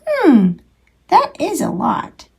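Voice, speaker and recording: Storybook young male hare, played by a woman: childlike and eager, pitched high, earnestly adoring. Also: huge pitch swings, takes it slowly, vocal fry, warm tone, heavily acted. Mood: musing, wistful.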